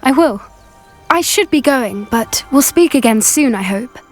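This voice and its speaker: A woman's voice: sits high, animated, measured pace. An actress voicing a young woman who protects a hippogriff, speaking earnestly and thoughtfully in a video game. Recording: game audio with faint music.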